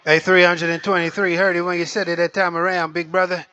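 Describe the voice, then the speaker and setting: Bright, high-pitched man on CB radio. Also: level tone, fast talker, clear, resonant tone. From Jamaica. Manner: showy, rhythmic patter with stock catchphrases. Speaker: CB radio operator taking calls. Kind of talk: CB radio chatter in trucker slang. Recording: dull, lo-fi CB radio audio.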